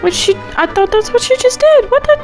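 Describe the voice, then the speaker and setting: Female game streamer: casual, exasperated, sarcastic commentary in a lively highish voice over music. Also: a little fast. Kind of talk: casual reactive game commentary with read-aloud dialogue choices.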